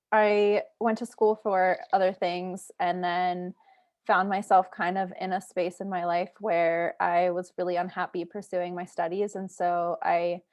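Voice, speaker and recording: A woman who grew up in Washington, D.C. speaks calmly on a panel over a video call. Her voice is fairly flat in pitch. The sound is dry and close. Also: no room sound. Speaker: panelist artist. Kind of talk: panel discussion.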